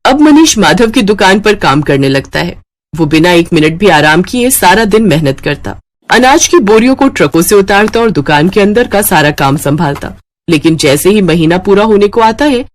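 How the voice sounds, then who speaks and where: A woman narrating a scripted story in an expressive storytelling manner. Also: lowish voice, moderate pace, dry recording.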